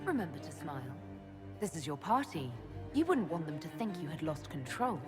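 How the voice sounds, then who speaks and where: A woman's voice: deep voice, very animated, normal speed. Commanding woman leader over music. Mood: coolly taunting. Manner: dry, composed, pointed. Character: commanding, shrewd female Inquisition leader, coolly confident. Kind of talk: video game voice acting.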